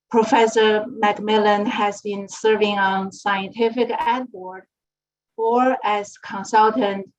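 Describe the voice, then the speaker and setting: A woman moderator reading a formal speaker introduction in a measured way. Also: mid-range voice. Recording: muffled lo-fi audio.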